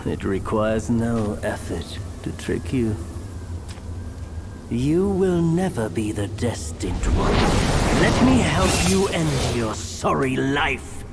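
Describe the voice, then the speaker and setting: A man's voice: mid-range voice, big pitch swings, takes it slowly, over music. An actor playing a scheming male deceiver in a game who taunts and mocks. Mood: mocking. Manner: mocking, taunting.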